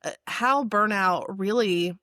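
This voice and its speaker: Upbeat female co-host, mid-pitched, dry close mic. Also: takes it slowly, bright, forceful tone, no echo. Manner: enthusiastic, upbeat. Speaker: podcast co-host. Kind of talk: podcast chat and guest introduction.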